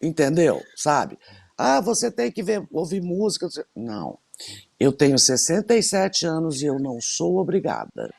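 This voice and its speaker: A woman's voice: deep voice, normal speed, vocal fry, slightly rough, no echo. A woman in her sixties, co-hosting a radio show, telling stories in a casual chat with lots of emphatic repetition. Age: 60s. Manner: animated storyteller, emphatic repetitions.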